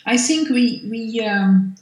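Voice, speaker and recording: A middle-aged woman with a Dutch accent, a native Dutch speaker, explaining her work in a measured way as an interviewed lecturer, pausing to find words. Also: on the high side, expressive. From Netherlands. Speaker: physiotherapy lecturer being interviewed. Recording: echoey room sound.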